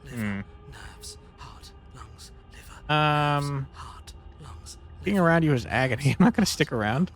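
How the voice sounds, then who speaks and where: A game streamer with a medium-pitched voice, talking casually and wryly through a playthrough. The delivery is conversational, with pondering hums, and faint music sits underneath. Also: animated, slow pace, long pauses. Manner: wry, conversational, hesitant humming while deciding. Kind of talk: casual let's play commentary, reading dialogue choices aloud.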